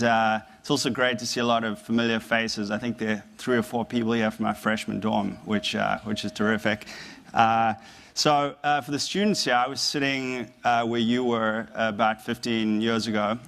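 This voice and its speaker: A man in his thirties from South Africa, with a South African accent softened by years in America, giving a conversational, halting public talk to a university audience in a lecture room. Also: on the low side, little pitch movement. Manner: conversational, halting, often repeating words. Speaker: guest speaker.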